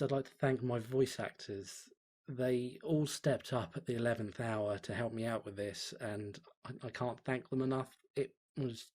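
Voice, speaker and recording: A man's voice: medium pitch, darkish tone, husky. Earnest man chatting casually to viewers. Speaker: host, fan fiction reader. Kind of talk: casual outro chat to viewers.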